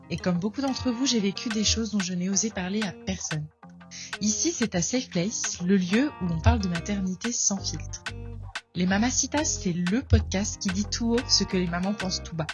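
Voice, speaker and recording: A woman's voice: medium pitch, moderate pace. A woman reading a scripted podcast intro in a friendly way, speaking directly to the listeners. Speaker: podcast host. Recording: intro over loud music. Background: instrumental music.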